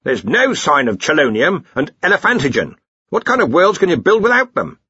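A man's voice: fairly high pitch, sing-song, a little fast, bright, forceful tone, breathy edge, no room sound, muffled recording, voice pushed up, full character voice. A man voicing a blustering, bossy older wizard who runs a university, brusque and dismissive, in an audiobook. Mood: brisk, scornful.

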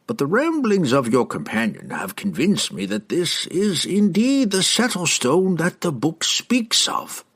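A man voicing a wise, learned old herald in a fantasy audiobook, explaining things in a knowing, deliberate way. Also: fairly high pitch, huge pitch swings, a bit bright, breathy edge, voice pushed up, full character voice. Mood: scholarly.

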